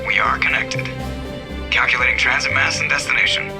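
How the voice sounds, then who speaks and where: Guy doing space pilot callouts, video game, clipped n controlled, mid voice, music clearly behind. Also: mid-range voice, level tone, a little fast, natural voice. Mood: focused. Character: focused, formal starship pilot announcing procedure. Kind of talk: video game cutscene announcements.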